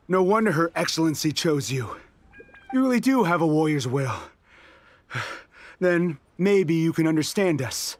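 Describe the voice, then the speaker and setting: An actor playing a proud, combative resistance soldier in an anime video game, with a hearty, emphatic delivery. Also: male voice, fairly high pitch, no room sound. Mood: respectful, confessing. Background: game music.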